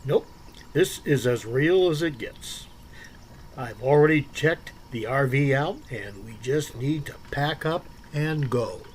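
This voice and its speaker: An actor playing Bob, a devoted but menacing husband, in a calm, unhurried radio drama performance. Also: male voice, mid-range voice, slight background noise.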